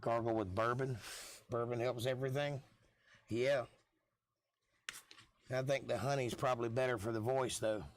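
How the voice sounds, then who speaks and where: A middle-aged man, hoarse from losing his voice, chatting casually with viewers as host of a live stream. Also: mid-range voice, fairly even intonation, moderate pace, long pauses. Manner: laid-back, chatty, folksy.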